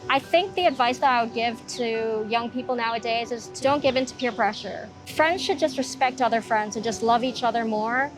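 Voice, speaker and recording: A young woman from Hong Kong speaks in a soft, reflective manner, pausing often as she tells her personal story. Her voice sits a little high. Faint music plays quietly underneath. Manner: soft-spoken, reflective, frequent ums and pauses. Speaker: interviewee sharing her recovery story. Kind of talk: personal testimony. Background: soft background music.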